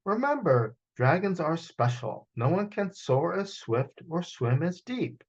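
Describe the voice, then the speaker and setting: A man voicing a proud, gentle mother dragon in a storybook, instructing her child. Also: on the high side, very animated, unhurried, husky, dull audio, voice pushed up, heavily acted. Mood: proud, reminding.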